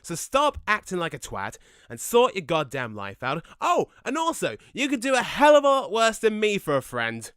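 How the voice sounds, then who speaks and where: A man playing a laid-back but quick-tempered, cocky ghost in an audio drama, with a brash, slangy, matey way of talking. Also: sits high, huge pitch swings, fairly bright tone, clear, resonant tone, no echo, studio quality, heavily acted. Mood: angry, ranting. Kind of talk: conversational audio drama acting.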